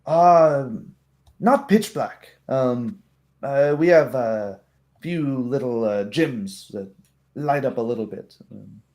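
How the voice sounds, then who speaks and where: Slow-paced man narrating as game master. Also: mid-range voice, expressive, darkish tone. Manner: halting, descriptive. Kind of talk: improvised tabletop game narration.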